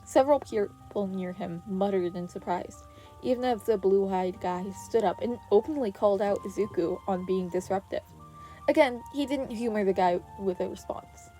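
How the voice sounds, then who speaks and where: A woman narrates a fan story in a mellow, soft-edged voice at a middle pitch. Her reading is expressive and scripted, with faint music playing behind her. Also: normal speed, poor audio. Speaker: podfic narrator. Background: background music.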